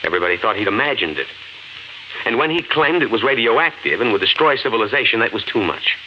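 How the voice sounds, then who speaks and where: Low-voiced, steady radio drama husband. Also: male voice. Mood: explaining. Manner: measured, explanatory. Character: calm, reassuring husband sheltering underground. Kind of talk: 1950s radio drama acting. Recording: dull, lightly hissy 1950s radio recording.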